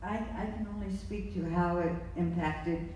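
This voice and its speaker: Soft-spoken panelist in an echoey hall. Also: mid-range voice, fairly even intonation. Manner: soft-spoken, halting, self-deprecating storytelling. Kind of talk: live panel discussion. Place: large museum hall. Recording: echoey hall from far off, faint noise.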